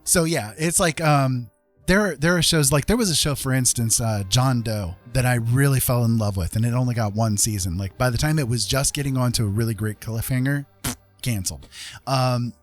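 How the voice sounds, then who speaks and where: A man's voice: mid-range voice, moderate pace, soft background music. A middle-aged man chatting casually as a livestream host, rambling and emphatic, often repeating his phrases.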